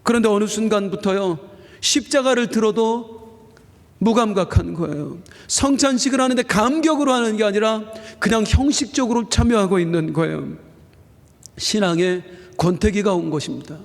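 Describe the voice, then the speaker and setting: A man with a high voice preaches a Sunday sermon from the pulpit of a church sanctuary. He draws phrases out slowly for weight, then lifts his voice on the points he most wants to land. A long reverb trails every line through the hall. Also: vocal fry. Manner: earnest preaching, slowing down for emphasis and rising on key phrases. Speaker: senior pastor.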